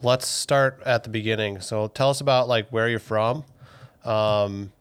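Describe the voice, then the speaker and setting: A man in his thirties hosts a casual chat and asks friendly questions. His voice is smooth and clear, recorded close and dry. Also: lowish voice, fairly even intonation, a little slow, no room sound. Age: 30s. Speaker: podcast host. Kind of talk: casual interview.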